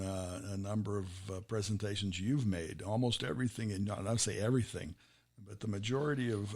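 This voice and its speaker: A middle-aged American man hosting a podcast interview, asking questions in a loose, rambling way with restarts. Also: fairly low pitch. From United States. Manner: conversational, asks questions in rambling runs with restarts. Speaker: podcast host.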